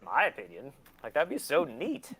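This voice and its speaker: A man's voice: on the high side, wildly swooping pitch, brisk, a bit bright, slight background noise. Blunt, sweary man chatting casually. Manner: sweary, blunt, laughs easily. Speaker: interview guest, collector and documentary maker. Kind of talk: casual chat, storytelling.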